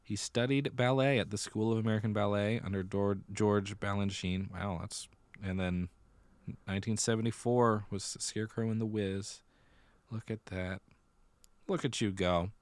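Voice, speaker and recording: A man with a low voice talks as a podcast co-host in a casual, conversational way. He tends to repeat phrases to make a point. The sound is dry, with very little room on it. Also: steady tone, moderate pace, no echo. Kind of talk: casual chat about musical theater and pop culture.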